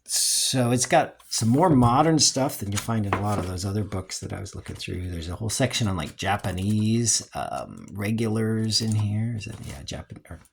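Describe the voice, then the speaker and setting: A man's voice: fairly low pitch, animated, moderate pace, vocal fry, hardly any pauses, a little hiss or hum. A man giving a casual, unscripted review in a rambling, hesitant way, as the host of a collecting video.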